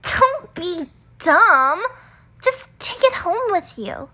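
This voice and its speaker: Feisty anime schoolgirl, extremely high voice, bright, huffy, flustered, bossy. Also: very animated, drawn-out words, soft background music, exaggerated voice. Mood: bossy, eager. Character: feisty, defensive teenage schoolgirl who loves manga. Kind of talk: anime visual novel fan dub acting.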